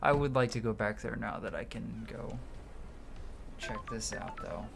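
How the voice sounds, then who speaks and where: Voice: mid-range voice, fairly even intonation, measured pace, creaky. A streamer playing a video game, giving casual, sweary commentary in muttered asides and reading game text aloud. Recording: game music behind the voice. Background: video game music.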